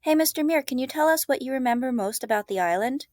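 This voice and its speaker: A woman voicing a helpful, chatty girl cousin in a storybook, animated and reassuring. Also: high-pitched, quick pace, no echo, clean studio sound, pitched above the performer's own voice. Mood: friendly, animated.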